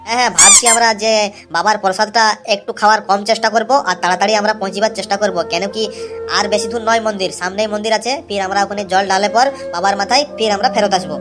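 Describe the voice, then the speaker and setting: A man's voice: high-pitched, fairly even intonation, fast talker, over music, natural voice. A man voicing a weary, chatty young male pilgrim in an amateur cartoon, rambling and repeating himself. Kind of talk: amateur cartoon dialogue, vlog-like.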